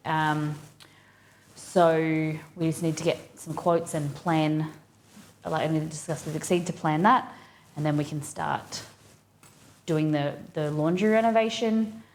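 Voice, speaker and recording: A woman's voice: deep voice, fairly even intonation, a little slow, a little hiss or hum. A woman with an Australian accent, hosting a casual cooking live stream and chatting informally with viewers as she thinks aloud.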